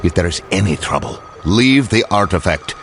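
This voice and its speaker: A man's voice: low-pitched, animated, moderate pace, slight background noise. An actor playing a stern, authoritative male commanding officer in a video game, with concern for the agent under his command. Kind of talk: video game cutscene acting.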